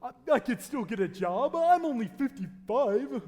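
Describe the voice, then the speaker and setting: A man acts out a bumbling, cheerful uncle in his late fifties, very high-pitched and excitable. He rambles and exclaims in old radio-play style, recorded live in a reverberant hall. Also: moderate pace, full character voice. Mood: flustered. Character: bumbling, cheerful, boozy old uncle of fifty-five-plus. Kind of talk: 1940s radio play acting. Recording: echoey hall, live stage taping.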